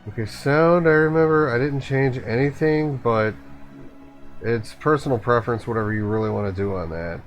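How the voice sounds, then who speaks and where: A man giving a casual step-by-step software tutorial, informally thinking aloud as he goes. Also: medium pitch, normal speed, dull audio, soft background music. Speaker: tutorial host.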